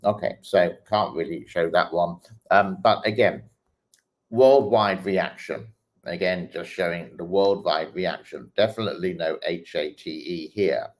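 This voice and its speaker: A man hosting his channel, talking through social media clips in a slow, hesitant way with many pauses and fillers. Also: sits low. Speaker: host reacting to online clips. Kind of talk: casual commentary while scrolling a feed.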